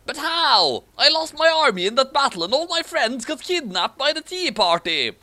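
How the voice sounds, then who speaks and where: A man's voice: very high-pitched, sing-song, moderate pace, pushed and bright, voice pushed up, exaggerated voice. A man voicing an earnest, exclaiming young hero in a parody story reading. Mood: distressed.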